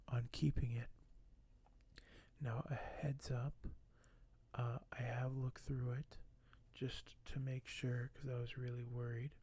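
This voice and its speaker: Breathy, half-whispering man, flat and slow. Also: medium pitch. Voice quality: breathy, often dropping to a whisper. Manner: slow, hushed, hesitant, apologetic. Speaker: ASMR video host. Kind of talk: ASMR unboxing, soft-spoken.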